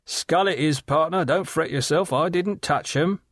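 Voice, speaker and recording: Man doing a high-pitched river scavenger, sly and leering, wheedling, rough slang, dry close sound. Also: a little slow, fairly bright tone, no echo.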